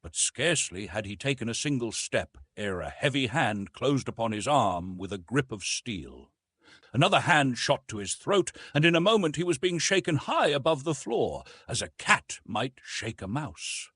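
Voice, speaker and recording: A man's voice: mid-range voice, big pitch swings, normal speed, fairly bright tone, husky, no room sound, clean studio sound. A man reading an adventure novel as a narrator, in a dramatic scripted style. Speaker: audiobook narrator.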